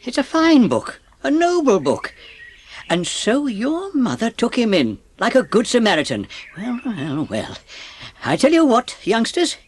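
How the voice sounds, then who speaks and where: A woman voicing a kindly old English gentleman, avuncular and given to musing aloud, in a dramatised children's audiobook. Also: fairly high pitch, huge pitch swings, unhurried, slightly rough, full character voice. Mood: impressed, musing.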